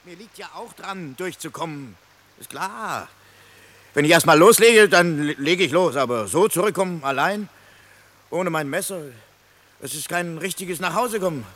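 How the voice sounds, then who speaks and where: Stubborn, grumbling fugitive, bright-voiced. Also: male voice, a little high, lively intonation, moderate pace. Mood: sulky, wistful. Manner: grumbling, sceptical, sarcastic. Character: stubborn, sulking fugitive man mourning his lost knife. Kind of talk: German radio play acting.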